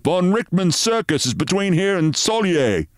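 A man's voice: high-pitched, big pitch swings, moderate pace, dry recording, studio quality, pitched above the performer's own voice. A man reading a story aloud and voicing an anxious, deferential army sergeant. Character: adult male army sergeant, anxious and dutiful.

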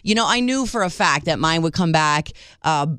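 A woman's voice: low-pitched, animated, moderate pace, fairly bright tone, smooth, no echo, studio quality. A woman co-hosting a casual group chat in a blunt, teasing way. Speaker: show co-host.

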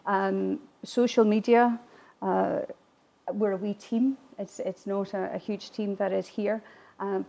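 Woman, Gaelic, gravelly fry in the voice, chatty, many fillers, guest in an interview. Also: mid-range voice. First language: Irish Gaelic. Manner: chatty, warm laughs, frequent fillers and restarts. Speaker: guest speaker, distillery attraction manager. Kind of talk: conversational interview answers.

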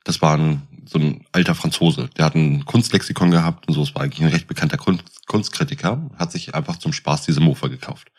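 Very low-voiced, husky man telling stories. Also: fairly quick, few pauses, a little mellow. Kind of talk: casual anecdote telling.

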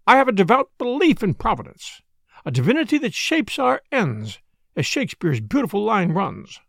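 Man playing a plaintive older society mother, high swooping. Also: huge pitch swings, moderate pace, creaky, fairly bright tone, no echo, studio quality, full character voice. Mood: pious fretting. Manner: plaintive, rambling, fussy. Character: fretful older society mother, plaintive, self-pitying, obstinate.

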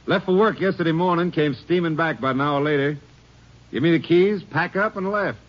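An actor playing a folksy, stammering rooming-house landlord in a 1950s radio drama. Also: male voice, fairly high pitch, a little fast, close to an everyday voice. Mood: gossipy. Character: rambling small-town male landlord, folksy. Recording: dull old radio recording, faint hiss.

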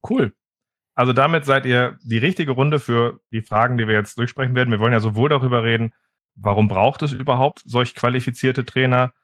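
A man's voice: medium pitch, fairly even intonation, brisk, fairly bright tone, no room sound, studio quality. A man who moderates a panel, putting questions to the guests in an easygoing, casual way.

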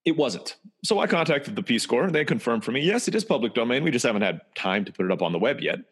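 A man who grew up in Turkey, telling his life story in a relaxed, conversational way, sometimes restarting and repeating words. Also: mid-range voice, fast talker, husky. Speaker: interview guest. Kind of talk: storytelling personal history.

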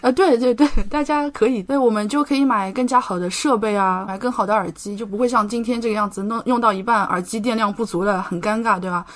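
A young woman from China chatting casually as a podcast guest, expressive and enthusiastic in the way she talks. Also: fairly high pitch, fast, few pauses. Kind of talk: casual chat.

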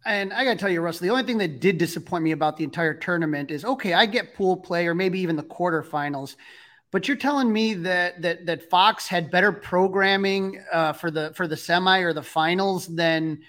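Casting: male sports podcast host, high voice, chatty and conversational. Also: a little fast, a bit bright. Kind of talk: casual interview talk.

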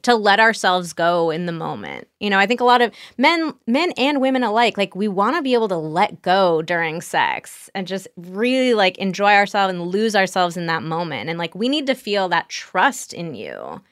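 A woman's voice: medium pitch, sing-song, normal speed, hardly any pauses, fairly bright tone, dry recording, clean studio sound. A woman speaking as a guest sex therapist in casual, explanatory podcast talk, illustrating her points with quoted examples.

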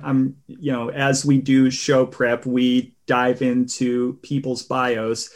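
A man hosting a podcast in a conversational interview style, with a halting delivery. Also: mid-range voice. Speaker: podcast host.

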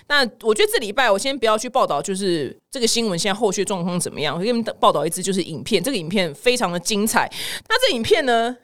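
Very animated young Taiwanese Mandarin woman, fast talker. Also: medium pitch. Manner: animated, exclaiming, acts out dialogue. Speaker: podcast host of a weekly news show. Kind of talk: casual chatty storytelling.

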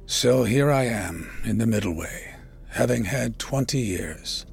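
Male poetry reader, solemn and unhurried, gravelly with breathy endings, measured dramatic delivery over faint ambient noise. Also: mid-range voice, lively intonation. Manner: measured, solemn, with deliberate pauses. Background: ambient sound design.

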